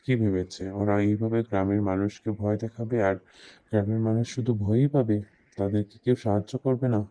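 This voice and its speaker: A man's voice: fairly low pitch, fairly even intonation, fairly quick, warm tone, no room sound, lightly acted. A man playing earnest, resolute male characters in a horror cartoon, with emphatic delivery. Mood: determined. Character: earnest, resolute men of a horror cartoon: heroes, villager, policeman. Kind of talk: cartoon dialogue acting.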